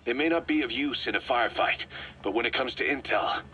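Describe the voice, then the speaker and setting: An actor playing an embittered, vengeful military commander in a video game, speaking in a clipped, stern, forceful way. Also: male voice, medium pitch, a little fast, muffled recording, natural voice. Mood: brisk, instructive. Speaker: military commander briefing his boss. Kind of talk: acted radio-style briefing in a video game. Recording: band-limited radio tape, faint noise.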